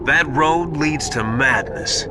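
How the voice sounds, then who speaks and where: An actor playing a wise, stern mentor in a video game, measured and admonishing. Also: male voice, a little high, very noisy. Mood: sympathetic, admonishing. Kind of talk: dramatic video game voice acting. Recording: loud music behind.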